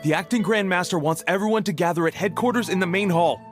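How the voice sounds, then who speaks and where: A man playing an earnest, flustered young knight in a video game, speaking with hurried urgency. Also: high voice, faint music behind, close to an everyday voice. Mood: flustered, urgent.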